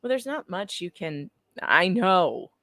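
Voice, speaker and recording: A woman's voice: on the low side, very animated, takes it slowly, sharp tone, no echo. A woman reading transcript lines aloud casually, with playful, teasing conversational delivery. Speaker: guest co-reader. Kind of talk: casual dramatic reading of court transcript with banter.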